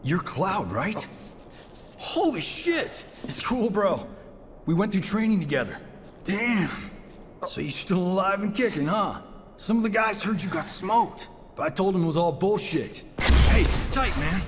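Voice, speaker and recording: A young man voicing a friendly, excitable soldier in a video game, slangy and eager, in a reverberant hall. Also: sits high, a little slow, a little hiss or hum. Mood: amazed, excited.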